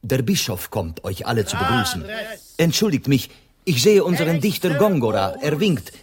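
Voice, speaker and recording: A man acting in a radio play as a courteous, talkative Spanish gentleman who guides foreign visitors. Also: mid-range voice, big pitch swings, moderate pace, a crowd in the background, natural voice. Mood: apologetic, polite. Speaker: actor.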